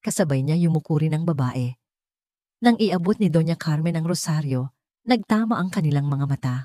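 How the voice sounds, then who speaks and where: A woman reading a scripted romantic drama story as narrator, with measured, melodramatic delivery. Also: deep voice, lively intonation, warm tone, no room sound, clean studio sound.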